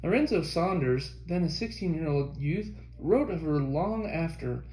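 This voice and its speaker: A man reading a history book aloud as an audiobook narrator, in a measured scripted delivery. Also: on the high side, animated, darkish tone, reverberant, poor audio. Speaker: audiobook reader.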